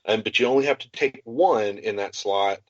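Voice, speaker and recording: Guy with a lowish voice excitedly explaining game stuff, kinda dull dry audio. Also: moderate pace, no echo. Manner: enthusiastic explainer. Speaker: podcast co-host. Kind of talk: casual tabletop gaming chat.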